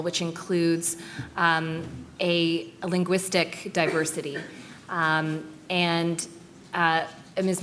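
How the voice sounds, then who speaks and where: Flat, hesitant woman answering questions. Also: low-pitched, slight background noise. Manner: hesitant, many fillers. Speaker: review team member answering questions. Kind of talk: press conference answer.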